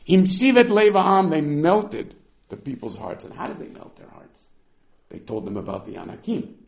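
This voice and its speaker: A man's voice: fairly high pitch, big pitch swings, moderate pace, creaky. A man teaching a Bible text, reading Hebrew verses aloud and then explaining them with emphatic, dramatic swings in delivery. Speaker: Torah teacher. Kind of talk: text-based Bible lecture.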